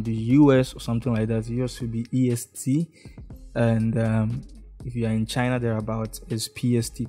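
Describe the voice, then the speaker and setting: A young man from Ghana with a Ghanaian English accent, talking step by step through a screen-recorded design tutorial and often checking in with "okay?". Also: medium pitch. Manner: relaxed, step-by-step, frequent "okay?" check-ins. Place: indoor room, face in webcam corner.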